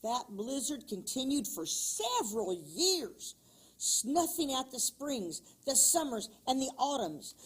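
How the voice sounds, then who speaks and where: A middle-aged woman reading a folk tale aloud to children in an expressive storybook way, then talking about it. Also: sits high, husky.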